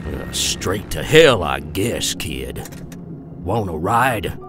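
An actor playing a rough derby mechanic boss in a motion comic, with a gruff, folksy manner. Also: male voice, deep voice, sing-song, slow, loud music behind the voice, exaggerated voice. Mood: laconic. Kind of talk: comic dialogue acting.

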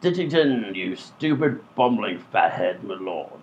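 A man's voice: fairly low pitch, very animated, a little slow. A man playing a dim, easily exasperated robber sidekick in a comedy radio play, blunt and colloquial. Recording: dull lo-fi audio, music behind.

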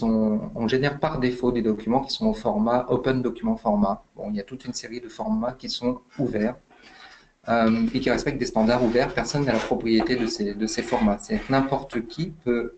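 A man's voice: medium pitch, darkish tone, poor audio. A man giving a webinar talk as invited expert, hesitant, with many fillers and restarts. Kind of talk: webinar explanation.

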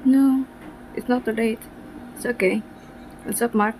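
Woman as an uneasy, caring young man, unhurried. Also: fairly high pitch, fairly even intonation, darkish tone, slight background noise, voice pushed up, lightly acted, rough recording. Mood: concerned. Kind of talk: amateur comic dub acting.

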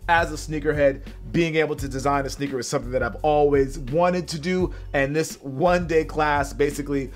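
A man's voice: a little high, steady tone, a little fast, hardly any pauses, soft background music. An upbeat man who has a bit of a cold, chatting casually straight to his viewers as he unboxes sneakers. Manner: upbeat, rambling, talks to viewers directly.

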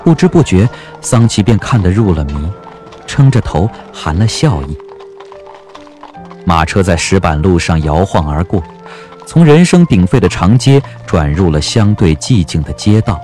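A male audiobook narrator with a fairly low voice. He tells the story in measured, expressive phrases with lively intonation, over faint music. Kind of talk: scripted dramatic storytelling narration.

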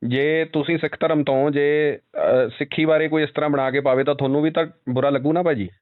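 A man's voice: fairly high pitch, steady tone, a little fast. A man with an Indian Punjabi accent putting pressing, challenging questions as an interviewer on a phone call. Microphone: telephone. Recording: tinny phone call recording.

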